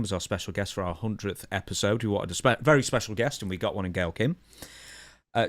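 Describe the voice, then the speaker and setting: A man's voice: low-pitched, no room sound. A man hosting a podcast, presenting news conversationally and putting questions to his co-host.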